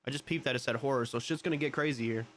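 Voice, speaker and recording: A man's voice: medium pitch, rapid-fire, a bit bright. A man hosting a livestream, chatting informally and in slang as he reacts to a video and greets viewers. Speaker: livestream host.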